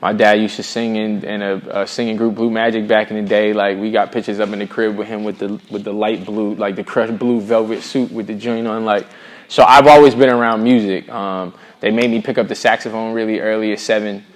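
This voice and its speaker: Casting: American man, middle-aged, lowish voice, earnest, slangy musician chatting as an interview guest. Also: little pitch movement, brisk. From Boston, USA. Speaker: singer-songwriter, interview guest. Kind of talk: casual interview conversation.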